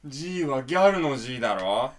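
A man acts out a flashy, irritable male slacker in a radio drama. He is brash and curt, talking in loose slang. The pitch moves around in a very lively way. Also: measured pace, lightly acted. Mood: annoyed. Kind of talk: Japanese radio drama acting.

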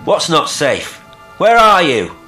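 Man acting a snappish sailor, loud high calls. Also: huge pitch swings, slow, soft background music, heavily acted. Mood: snappish, astonished. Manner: abrupt, demanding. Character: snappish, astonished male sailor calling into the night.

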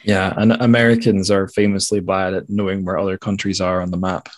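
A man's voice: deep voice, flat delivery, a little fast. A man hosting a podcast in a casual, conversational interview style. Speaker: podcast host.

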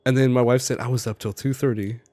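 A man's voice: mid-range voice, fairly even intonation, fast, mellow, no echo. A man co-hosting a podcast, chatting casually about a TV series in a rambling, laughing way. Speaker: podcast co-host. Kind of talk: casual chat reviewing a TV series.

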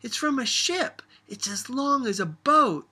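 A man voicing an excited, eager little granddaughter in a storybook, exclaiming in short bursts. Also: extremely high pitch, measured pace, husky, pitched above the performer's own voice, full character voice.

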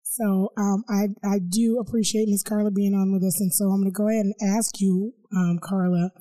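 A woman hosts a podcast interview, her voice raspy and hoarse from being sick. Her tone sits mellow and dark, and she talks with plenty of fillers and an apologetic air. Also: mid-range voice, level tone, normal speed, rough recording. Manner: apologetic, warm, gives frequent fillers. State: hoarse after illness. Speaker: podcast host.

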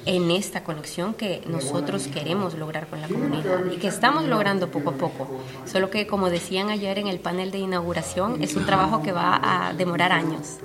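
A woman's voice: on the low side, fairly quick. Honduran-born woman over fair crowd noise. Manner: earnest, explanatory, steady. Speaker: publisher, guest. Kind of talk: conversational interview. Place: book fair. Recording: busy fair crowd behind. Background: crowd chatter.

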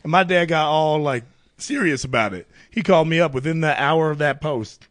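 A young man co-hosting a podcast, telling laid-back stories in casual banter and acting out quotes in a deeper voice. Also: a little fast, a bit bright, no room sound, clean studio sound. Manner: laid-back storyteller, acts out quotes in a deep voice. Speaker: podcast co-host.

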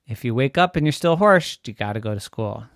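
A man voicing a playful, easygoing dad who talks in a raised, sing-song, animated way. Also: mid-range voice, brisk, no echo, studio quality.

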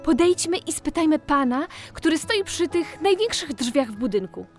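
Lady as a curious eager little girl, high pitched, bouncy delivery, kids audio drama. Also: very animated, normal speed, a little mellow, soft background music. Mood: awed, excited. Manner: wondering, eager, inquisitive. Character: curious, enthusiastic little girl exploring with brother. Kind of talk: children's audio play acting.

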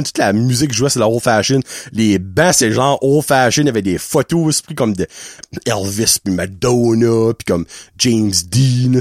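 A man with a Québécois French accent tells a story as a podcast host, his pitch swooping up and down. He talks casually, laughs often and mixes English words into his French. The sound is close and dry. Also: darkish tone, no echo.

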